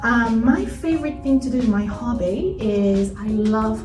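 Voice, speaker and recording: Higher-voiced Brazilian woman teacher. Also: a little slow, a little mellow. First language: Portuguese. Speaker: language school teacher. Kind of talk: casual interview answers. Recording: roomy, distant mic, music behind.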